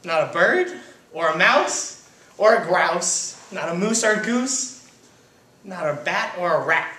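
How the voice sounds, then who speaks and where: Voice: mid-range voice, animated, slow pace, pushed and bright, distant mic, pitched above the performer's own voice. A storybook reader voicing a stern, scolding mother cat who lectures with emphasis. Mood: stern lecturing. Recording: roomy echo, background noise.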